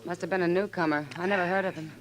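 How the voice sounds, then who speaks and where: A woman's voice: lowish voice, fairly even intonation, rapid-fire, crowd noise, lightly acted, low-quality recording. Lady acting as old west woman, reflective and concerned, radio drama with people murmuring behind. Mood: troubled, reflective. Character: thoughtful, concerned frontier woman, marshal's confidante. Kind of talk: 1950s radio western acting.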